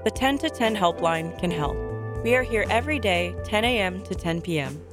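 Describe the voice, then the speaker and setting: A woman reads a helpline announcement at a middle pitch, in an earnest and reassuring tone. Music plays clearly under her voice. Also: lively intonation, normal speed. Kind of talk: public service announcement.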